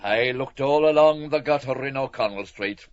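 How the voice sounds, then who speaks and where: A man's voice: mid-range voice, normal speed, no echo, highs cut off, natural voice. An actor playing an irascible, sardonic, hard-up middle-aged Irish father in a literary audio drama, blustering and mocking with theatrical flourish. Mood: sardonic, grudging.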